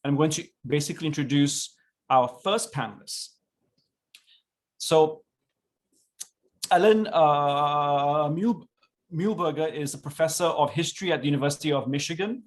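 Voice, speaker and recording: A middle-aged man, a professor, hosting an online panel over a video call. He talks in a relaxed, halting way with many fillers. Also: fairly high pitch. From born in South India, raised in Singapore.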